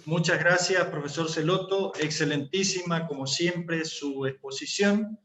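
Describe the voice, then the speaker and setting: A man's voice: on the high side, unhurried, fairly bright tone. Courteous man in an echoey room. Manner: formal, courteous. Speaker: question moderator. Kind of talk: formal panel moderation. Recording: echoey, dull, faint hum.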